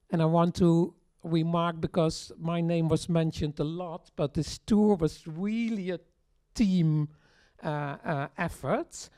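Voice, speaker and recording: A presenter addresses a launch audience in a slow, unhurried voice. Every phrase is placed deliberately, with the odd restarted word. The pitch sits in an ordinary middle range. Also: dark tone. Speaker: tour project presenter. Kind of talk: speech at a launch event. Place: event hall.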